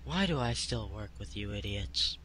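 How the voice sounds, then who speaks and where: A man's voice: fairly low pitch, expressive, moderate pace, very noisy, natural voice. A man voicing a bitter, sardonic soldier fed up with idiot partners in an anime parody. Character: exasperated, hateful soldier stuck with idiot partners. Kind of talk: comedic cartoon parody dubbing.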